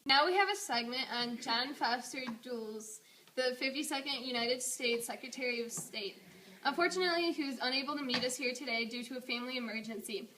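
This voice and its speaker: A woman's voice: high-pitched, a little fast, bright, forceful tone. A young woman presenting a scripted segment in an amateur newscast. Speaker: news presenter in a history project. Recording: distant mic with faint noise.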